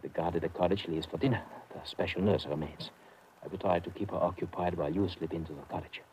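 An actor playing an anxious, conspiratorial sanatorium doctor, hesitant and questioning, in a 1950s radio drama. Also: male voice, sits low, quick pace, darkish tone, lightly acted. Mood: hushed, conspiratorial. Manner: hesitant, ends phrases with questioning "eh". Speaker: supporting actor. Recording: noisy old radio broadcast.

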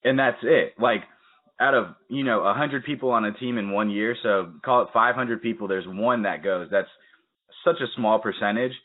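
Low-voiced young man on a tinny call. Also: moderate pace. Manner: energetic, storytelling with quoted asides. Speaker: podcast host. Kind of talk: casual interview chat. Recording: tinny phone-like call audio.

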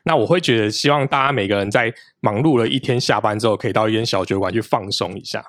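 Male guest, low-pitched and steady, recorded dry. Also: fast talker, dry recording. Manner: steady, explanatory. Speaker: podcast guest, podcaster. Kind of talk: casual interview talk.

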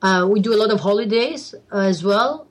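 A woman's voice: medium pitch, expressive, a little fast. A woman from Albania, a guest in a casual interview, who laughs a lot and often restarts her sentences.

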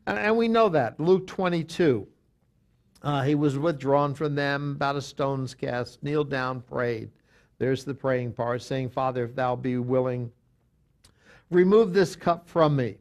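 Man teaching a Bible study. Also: medium pitch. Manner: halting, many restarts and fillers, reads scripture aloud.

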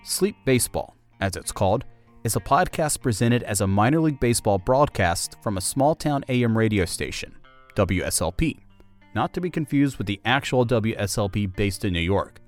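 A man's voice: lowish voice, animated, normal speed, soft background music. A man hosting a podcast, delivering scripted documentary-style narration.

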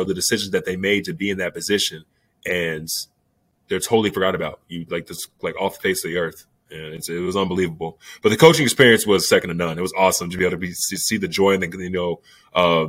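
Young male athlete guest, very low voice, rapid and rambling, dry close sound. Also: fairly bright tone, dry recording. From New York. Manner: laid-back, rambling with fillers. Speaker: basketball player guest. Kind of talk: candid interview answers.

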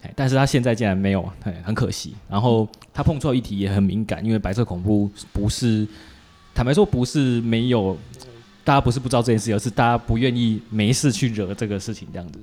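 A man speaks in a low voice with a Taiwanese Mandarin accent. He hosts in a casual, colloquial way, dropping in small interjections and a joking tone as he chats with a guest. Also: quick pace, darkish tone. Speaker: podcast host interviewing a guest. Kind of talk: casual chat.

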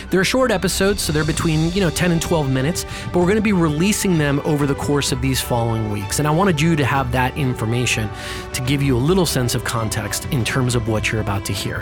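A man hosting a podcast, reading an upbeat intro and then interviewing a guest in a friendly manner. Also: mid-range voice. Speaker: podcast host.

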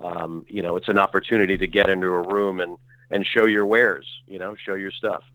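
Bright-toned middle-aged man telling stories. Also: sits low, level tone, brisk, no room sound, poor audio. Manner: rambling, self-correcting, with frequent fillers. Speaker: actor guest. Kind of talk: relaxed conversational storytelling.

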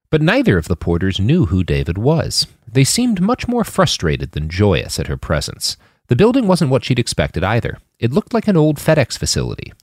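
A man's voice: low-pitched, no room sound, clean studio sound. A man reading scripted fiction as an audiobook narrator.

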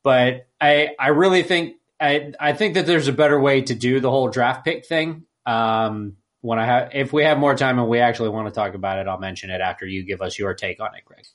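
A man's voice: medium pitch, brisk, few pauses, a bit bright. A man co-hosting a podcast, chatting casually in a hesitant, rambling way. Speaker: podcast co-host. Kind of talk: casual panel chat.

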